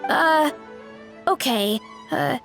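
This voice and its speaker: A woman's voice: high-pitched, drawn-out words, vocal fry, fairly bright tone, exaggerated voice. A young woman playing a sweet, earnest, slightly awkward heroine in a video game. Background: music.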